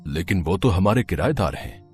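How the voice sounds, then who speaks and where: A man's voice: deep voice, expressive, normal speed, darkish tone, slightly rough, soft background music, full character voice. A man voicing an easygoing middle-aged landlord and father with an agreeable manner in an audio story. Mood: considering.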